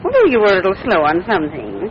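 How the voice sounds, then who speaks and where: Scatterbrained older American mother, lively lilt, gentle, guileless, 1940s radio play, very noisy recording. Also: medium pitch, quick pace, dull audio. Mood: fondly guileless. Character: sweet, scatterbrained, devoted older wife and mother. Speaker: radio drama actor.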